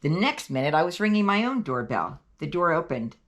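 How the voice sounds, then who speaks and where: A woman's voice: sits low, very animated, a little fast, slight background noise. A woman hosting a children's read-aloud, narrating a picture book expressively and shifting her intonation for dialogue. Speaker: host reading picture books for children.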